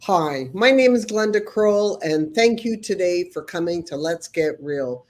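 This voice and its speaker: A woman's voice: fairly low pitch, expressive, unhurried, darkish tone. A woman hosting a motivational podcast, a little nasal from a cold, earnest and prone to repeating words as she starts a thought. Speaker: podcast host. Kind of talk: motivational chat.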